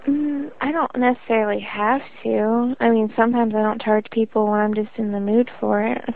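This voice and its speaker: Woman playing a creaky-voiced teenage runaway on phone. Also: on the high side, steady tone, moderate pace, dry recording. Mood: offhand, hesitant. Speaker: prank caller. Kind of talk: phone conversation. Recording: tinny phone line.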